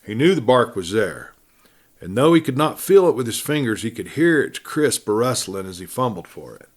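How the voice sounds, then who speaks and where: A man reading a classic adventure tale aloud as a storyteller, in a measured, dramatic way with phrase endings trailing off softly. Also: mid-range voice, sing-song. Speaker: audiobook narrator.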